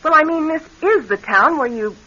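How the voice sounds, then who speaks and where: An actress playing an uneasy, exasperated married sister in a radio crime drama, with a strained, placating way of talking. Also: female voice, high-pitched, lively intonation, normal speed, sharp tone. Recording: dull, hissy old radio recording.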